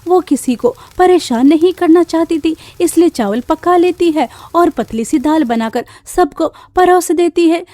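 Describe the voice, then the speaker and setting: High-pitched woman narrator with a mellow tone. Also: no room sound. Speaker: story narrator. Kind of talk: scripted Hindi cartoon storytelling.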